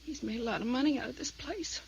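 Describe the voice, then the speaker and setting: A woman's voice: sits high, fast, gravelly, darkish tone, muffled recording, a little hiss or hum. An actress playing a bitter, desperate woman in a 1950s radio drama, emotional and pleading. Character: bitter, desperate woman torn between love and money.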